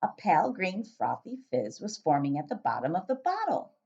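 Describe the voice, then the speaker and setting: A woman teacher narrating a children's storybook aloud in an expressive style for pupils. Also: fairly low pitch, normal speed, creaky, husky, dull audio.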